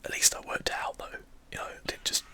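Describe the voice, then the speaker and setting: ASMR gaming host, soft breathy whisper, crisp sibilant brightness, casual self-deprecating commentary, close mic. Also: fast.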